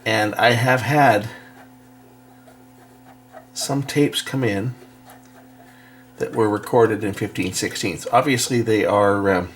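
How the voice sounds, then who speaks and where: A man's voice: fairly low pitch, slow, long pauses. A man giving a casual, hands-on repair demonstration as a technician, explaining things in a matter-of-fact way. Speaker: electronics repair technician and video host.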